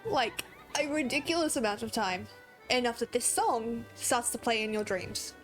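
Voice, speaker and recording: A woman's voice: on the high side, normal speed, soft background music, rough recording. A young woman with an Australian accent drifting toward American, chatting in a rambling way while she streams a game and laughs often. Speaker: gaming streamer. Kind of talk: casual livestream chat while playing.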